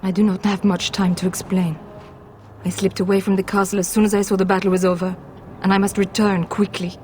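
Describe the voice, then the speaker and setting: Casting: woman as worried castle lady, guarded and halting, formal fantasy game drama, faint background noise. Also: medium pitch, level tone, darkish tone, lightly acted. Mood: urgent. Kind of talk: dramatic video game acting.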